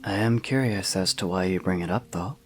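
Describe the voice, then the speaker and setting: Guy doing a chill young knight, deep voice, reserved, easygoing, fantasy audio drama. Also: fairly quick, faint music behind, natural voice. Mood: softly teasing. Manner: reserved, easygoing, brief replies. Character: calm, laid-back young male knight, quietly confident.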